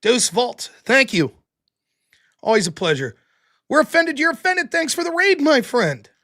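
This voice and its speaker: Animated high-voiced man reading donations. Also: a little slow. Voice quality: high-pitched voice, heavy breathing. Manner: animated, profane, yells about politics. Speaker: livestream host reading super chats. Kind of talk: casual livestream chat.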